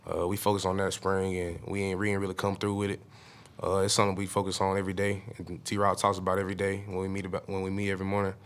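Earnest young man, flat low voice. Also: quick pace. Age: young adult. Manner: earnest, informal, many "uh"s and restarts. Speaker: college football lineman. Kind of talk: postgame press conference answers. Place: press room in front of a logo backdrop. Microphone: table mic on a stand.

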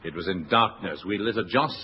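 A British man playing a deadpan adventurer in a 1960s radio comedy, telling his tale in measured, mock-dramatic fashion. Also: sits low, natural voice. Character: deadpan male adventurer narrating his own detective tale. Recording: very noisy, dull 1960s radio recording.

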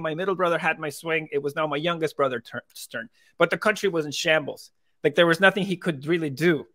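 A man in his thirties from Venezuela, telling his story as a guest in a casual interview over a video call with earbuds. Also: fairly high pitch. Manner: animated, gestures while talking, frequent restarts. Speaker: crypto company co-founder, guest.

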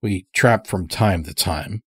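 A man's voice: deep voice, takes it slowly, a bit bright, husky, dry recording, studio quality, exaggerated voice. A man voicing a terse, guarded hunting lodge master in an audio story, curt and restrained. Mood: curt, wary. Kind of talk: dramatic audio story character.

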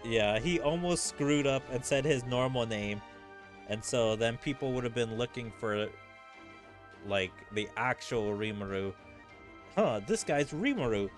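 A man comments casually on a game he is streaming. His mid-pitched voice moves around a lot, and music plays underneath. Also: animated, measured pace. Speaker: gaming streamer.

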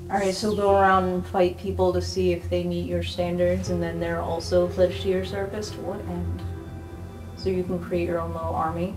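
A woman's voice: lowish voice, little pitch movement, moderate pace. A woman playing an improvised tabletop game in a casual conversational style. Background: background music.

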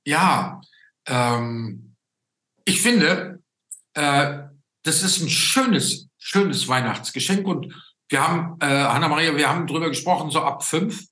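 A man's voice: on the high side, expressive, slow, pushed and bright. A man, hosting a casual interview hesitantly and tagging phrases with small questions. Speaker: interview host. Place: indoor room, video call. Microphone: video call. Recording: echoey room over video call.

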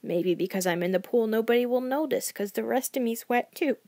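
A woman's voice: a little high, fairly quick, a little mellow, dry recording. A woman reading a first-person story as a sensitive young child, anxious and loyal, in an emotive, naive, confiding way. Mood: earnest, determined.

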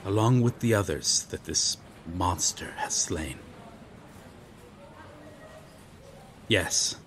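Man playing a solemn, slow-spoken medieval knight. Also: fairly low pitch, a little hiss or hum. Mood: solemn, resolute. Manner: formal, archaic, solemn speech. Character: noble, earnest medieval knight lost in modern times. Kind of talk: ASMR audio roleplay, dramatic monologue.

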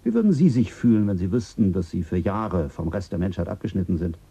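An actor playing a measured mission official explaining a space voyage in a German radio drama, with a husky voice. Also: male voice, low-pitched, expressive, mellow, exaggerated voice. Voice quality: husky, gravelly.

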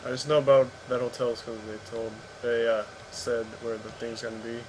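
Casting: American male gamer, average pitch, informal slangy chatter, clearly noisy online voice connection. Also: level tone, moderate pace. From Northern California. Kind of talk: casual gamer voice chat. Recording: noisy online gaming voice chat.

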